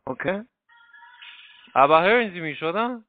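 A man with a foreign accent in non-native German making a polite, halting telephone sales pitch over a phone line. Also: lively intonation. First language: not German. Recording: tinny, narrow phone line.

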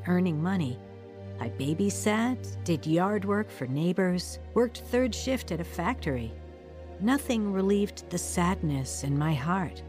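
A woman's voice: lowish voice, darkish tone. An actress playing a woman soberly recounting her troubled youth, as first-person narrator of a radio drama.

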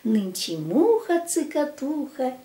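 An older woman reciting a children's verse tale in a theatrical, drawn-out and emphatic way. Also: high voice, wildly swooping pitch, mellow. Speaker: amateur children's storyteller with a plush puppet. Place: small room at home. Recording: echoey home room recording.

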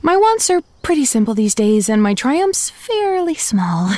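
High-pitched zombie girl, chatty, sardonic, self-deprecating, very lively intonation, comedy audio drama. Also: a little slow, no echo. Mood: wry, confiding. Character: chatty, wry, upbeat young female zombie narrating her undead life.

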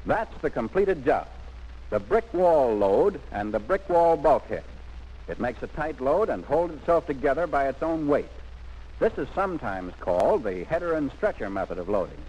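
Male narrator for vintage army training film. Lively, swooping intonation, instructive and emphatic. Muffled old soundtrack. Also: medium pitch, normal speed, slightly rough.

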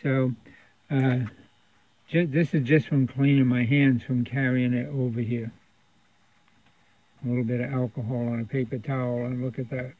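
A man's voice: mid-range voice, flat delivery, normal speed, long pauses, no room sound. Man with thin phone-like sound, rambling casually. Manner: rambling, conversational explaining. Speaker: radio repair technician presenting a repair video. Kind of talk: casual unscripted workbench narration. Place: indoor electronics repair workshop.

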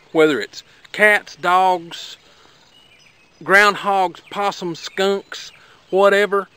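A man's voice: sits high, a bit bright, smooth. A middle-aged man with an Appalachian accent, talking in a folksy, plainspoken, rambling way as he demonstrates something outdoors by his garden. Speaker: homesteader vlogger.

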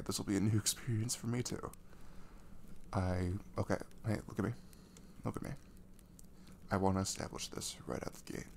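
Casting: male actor as tender, soothing young boyfriend for ASMR audio, gravelly low-end voice, faint background noise. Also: heavily acted. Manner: hesitant, gentle, soothing, with soft chuckles. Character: caring, reassuring young boyfriend comforting his nervous girlfriend.

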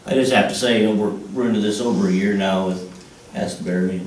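A man speaking as a council member, measured and inquisitive. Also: on the low side, fairly even intonation, a little mellow, slight background noise. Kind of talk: council discussion. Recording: echoey room from far off.

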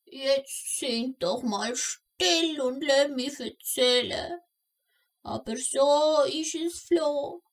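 A woman playing an old kobold grandmother with a Swiss German accent in a children's audio play, telling tales deliberately, grumbly but indulgent. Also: sits high, exaggerated voice. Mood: mildly exasperated. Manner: slow, deliberate storytelling with scolding asides.

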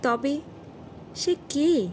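A woman plays a senior policeman in an audio horror story, pitched up in disbelief. He is blustering and scolding, firing out emphatic questions. There is a faint noise behind the voice. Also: drawn-out words. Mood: puzzled, musing. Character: blustering, incredulous male police officer in charge, balding.

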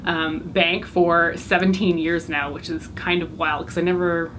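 Canadian woman in her 30s, lowish voice, chatty vlog talk, faint room hiss. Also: flat delivery, a little slow. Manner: chatty, filler-heavy, rambling asides. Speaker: beauty vlogger. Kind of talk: casual chat to camera. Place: bright home room indoors.

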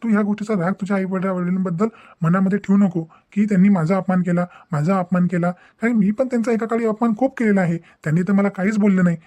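A man's voice: high voice, steady tone, fast, mellow, close to an everyday voice. A man voicing an earnest, conciliatory young newlywed husband in a cartoon family melodrama, explaining himself.

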